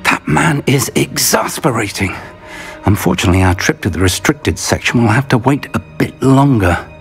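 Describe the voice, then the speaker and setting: A man acts as an elderly British wizard professor in a fantasy game. He is cautious and kindly, with formal, measured wording and a lively, rising and falling pitch. Music plays underneath. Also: close to an everyday voice. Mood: exasperated, resigned. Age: older. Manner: measured, formal, erudite phrasing. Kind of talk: video game cutscene acting.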